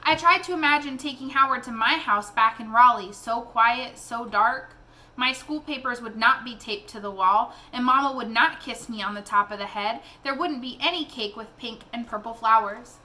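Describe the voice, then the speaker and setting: A woman reading a children's novel aloud in an expressive storytelling style. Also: fairly high pitch, normal speed.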